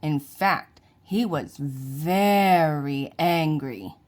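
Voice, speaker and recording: A woman reading a children's storybook aloud in an expressive, deliberate way. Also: low voice. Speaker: children's book reader.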